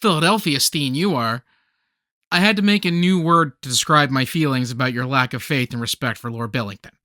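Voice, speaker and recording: A male actor playing an excitable, nostalgic, nerdy man in a radio comedy play, with theatrical, geeky banter. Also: mid-range voice, fairly quick, no echo, clean studio sound, close to an everyday voice. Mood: earnest, melodramatic.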